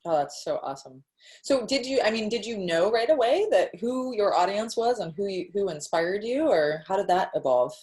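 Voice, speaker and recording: A woman's voice: mid-range voice, animated, moderate pace, darkish tone. A woman hosting an interview, asking questions and answering her guest with encouraging remarks. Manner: encouraging, affirming responses. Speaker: interview host. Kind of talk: interview conversation.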